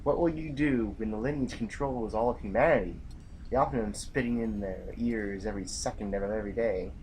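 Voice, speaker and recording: An actor playing a grim, stubborn general in a science fiction audio drama, speaking in formal, solemn phrases. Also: male voice, fairly low pitch, fairly quick, a little mellow, slight background noise, natural voice, poor audio. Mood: challenging. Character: grim, stubborn male military general facing a last stand.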